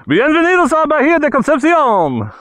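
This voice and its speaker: A man's voice: very high-pitched, measured pace, no room sound. A man talking through his motorcycle ride outdoors, exclaiming and laughing as he goes, on a helmet mic. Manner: excited, exclaiming, laughing often. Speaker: motorcycle vlogger. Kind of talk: riding commentary vlog. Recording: noisy outdoor riding audio.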